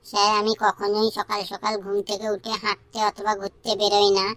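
A woman's voice: medium pitch, completely flat delivery, fairly quick, a bit bright, no room sound, lightly acted. A woman voicing a confident young schoolgirl, polite but cheeky, in a comedy cartoon.

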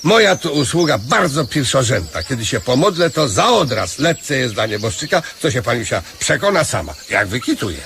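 A self-important but naive male beggar in a comic radio play. His creaky voice jumps about in touchy, folksy bluster, with clear ambient noise around it. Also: medium pitch, sing-song, moderate pace, hardly any pauses, a bit bright. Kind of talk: Polish radio comedy drama acting.

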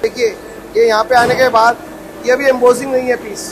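Man, factory owner, high and monotone sales patter, noisy shop floor. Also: a little fast. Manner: eager salesman, keeps inviting and promising quality. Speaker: footwear factory owner giving a tour. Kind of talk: sales pitch factory tour. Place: footwear factory floor, indoors. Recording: noisy factory machinery behind.

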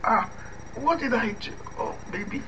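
A man's voice: very high-pitched, normal speed, pushed and bright, highs cut off, exaggerated voice. A man playing a tired, resentful lover who is fed up with lies, talking in weary, half-whispered complaints. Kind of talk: radio drama acting. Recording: clear background hiss.

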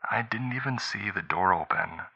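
A man's voice: low-pitched, a little slow, sharp tone, muffled recording, voice pushed up, full character voice. A man doing a tearful whispering frightened woman. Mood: terrified. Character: terrified young woman whispering on phone.

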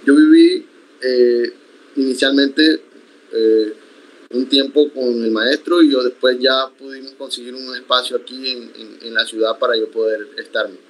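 A young man whose native language is Iku speaks slowly and thoughtfully as an interview guest. He often stops and restarts his phrases while he explains things. The sound comes from a video call made at home. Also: medium pitch, fairly even intonation, low-quality recording. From Sierra Nevada de Santa Marta, Colombia. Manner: measured, reflective, with frequent restarts. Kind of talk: conversational interview. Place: home room, indoors.

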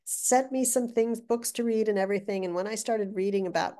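An older woman, a guest author, telling stories in a chatty, animated way with restarts, heard over a video call. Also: medium pitch, brisk. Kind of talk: casual interview chat. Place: living room with brick wall. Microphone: video call webcam.

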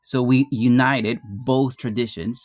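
A man's voice: mid-range voice, steady tone, no room sound, clean studio sound. A Guatemala-born man who teaches math, giving a casual slide presentation to his students and explaining things in a friendly, plain way. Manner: friendly, addresses students as "you guys", explains slowly. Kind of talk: informal classroom presentation over slides. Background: marimba music playing softly.

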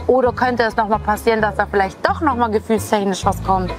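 A woman's voice: medium pitch, fairly even intonation, quick pace, music in the background. A young woman, a reality show contestant, rambling in a filler-heavy confessional way.

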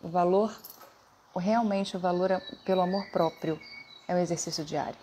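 A middle-aged woman hosts a casual livestream from her living room, greeting viewers one by one. Her voice is dark and mellow, and she sounds effusive and affectionate. A faint noise hums behind her. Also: lowish voice, steady tone, moderate pace. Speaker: host, voice teacher.

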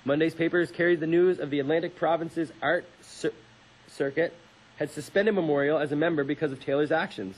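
A man in his twenties with a slightly husky voice, co-hosting a radio show, reading aloud and chatting. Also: a little high, fairly even intonation, fairly quick, rough recording.

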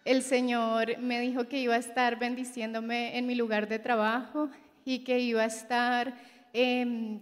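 Even, high-voiced Bogotá woman in a reverberant hall. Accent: Bogotá Colombian. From Bogotá, Colombia. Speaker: visiting church member giving testimony. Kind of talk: religious testimony to a congregation. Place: large church hall. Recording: echoing large hall.

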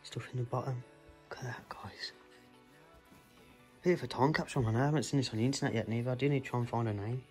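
A man filming himself with a phone as he explores an abandoned house indoors, talking low and hurried to his viewers. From England. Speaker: urban explorer filming a walkthrough. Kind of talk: casual handheld vlog commentary. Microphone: handheld phone. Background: background music.

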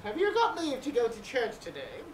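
A high-pitched, very animated old nurse played by a woman in a Shakespeare play, fussy and bawdy. She rambles and exclaims with theatrical groans, heard in an echoey room from a distance. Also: normal speed. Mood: teasing. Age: older. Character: bawdy, fussy old nurse, weary and teasing, fond of her charge. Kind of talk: Shakespearean stage acting. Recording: echoey room, distant mic, faint noise.

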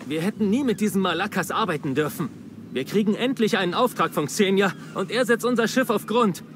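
Resentful pirate, raised pitch, lively intonation, spiteful, complaining, game dialogue. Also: male voice, fairly quick, slight background noise. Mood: bitter. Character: bitter, resentful stranded pirate sailor. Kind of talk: video game voice acting.

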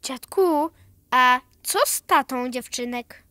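A woman's voice: sits high, slow pace, pushed and bright, no room sound, clean studio sound, full character voice. A woman playing an earnest, precocious, worried little granddaughter in a children's radio play.

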